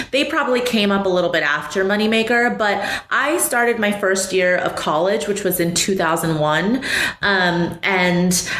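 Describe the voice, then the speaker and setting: A woman's voice: medium pitch, a bit bright. Chatty woman in her thirties, echoey room. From Taiwan. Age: 30s. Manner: chatty and reflective, laughs lightly mid-sentence. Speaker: podcast guest, professional poker player. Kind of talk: casual interview conversation.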